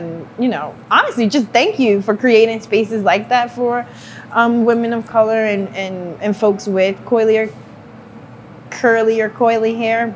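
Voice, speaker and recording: A woman's voice: medium pitch, a little hiss or hum. A woman presenter explaining hair products and chatting with a stylist, friendly and talkative with frequent "um"s. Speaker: brand presenter. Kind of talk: casual chat and product explanation.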